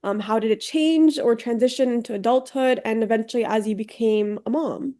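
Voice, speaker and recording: A woman's voice: fairly high pitch, fairly quick, dark tone. A woman hosting a podcast, reading an upbeat introduction and asking friendly questions. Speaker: podcast host.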